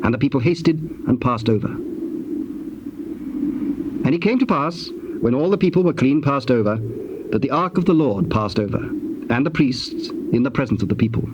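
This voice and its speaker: A man with a British accent narrating scripture in a solemn, formal, deliberate way. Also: mid-range voice, expressive. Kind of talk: scripted dramatic Bible narration. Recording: dull, noisy old cassette tape.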